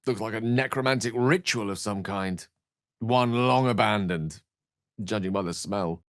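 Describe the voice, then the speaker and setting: An actor playing an eloquent, theatrical wizard in a fantasy video game, learned, courtly and wry, with articulate, bookish phrasing. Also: male voice, lowish voice, measured pace, a bit bright, no room sound, clean studio sound. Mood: intrigued.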